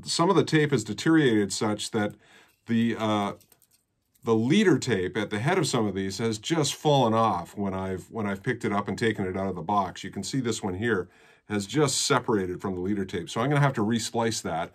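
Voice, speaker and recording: A man, explaining things in a casual, halting vlog style at home. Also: lowish voice. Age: 60s. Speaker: musician and presenter. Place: small rooms in a home.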